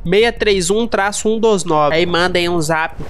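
A man's voice: high voice, a little slow, a bit bright. A young Brazilian man chatting casually and playfully in slang while streaming a role-play game. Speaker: gaming streamer. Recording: music under the voice.

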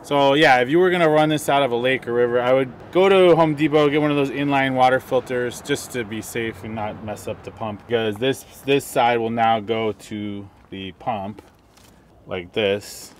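A man's voice: mid-range voice, steady tone, normal speed, slight background noise. Easygoing middle-aged man demoing gear outdoors. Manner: easygoing, explains step by step while handling gear. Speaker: camping vlogger. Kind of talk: casual product demonstration. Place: outdoors in sunny woods by a vehicle.

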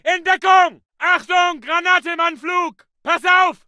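A man's voice: very high voice, flat delivery, takes it slowly, pushed and bright, no echo, studio quality, heavily acted. An actor voicing a German World War Two infantryman in a video game, barking urgent short combat callouts. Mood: urgent alarm. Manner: urgent, barked short commands. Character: German WWII infantry soldier yelling battlefield callouts.

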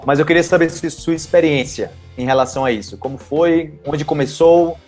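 A man's voice: a little high, moderate pace, darkish tone, soft background music. Chatty Brazilian man hosting a video call. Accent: Brazilian Portuguese. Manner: talkative, asks long rambling questions. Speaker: host, Portuguese teacher. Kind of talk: casual interview. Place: small room at home. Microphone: earphone headset on video call.

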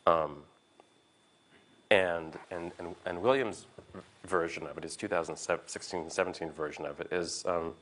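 A man in reflective conversation, speaking in a halting, thoughtful way as he searches for words. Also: deep voice, steady tone, fairly bright tone, slight background noise. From United States. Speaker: art historian being interviewed.

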